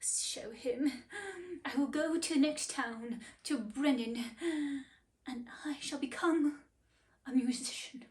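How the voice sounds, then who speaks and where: A woman's voice: sits high, takes it slowly, darkish tone. A woman doing an earnest old donkey. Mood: eager. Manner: pleading, earnest, fond of singing.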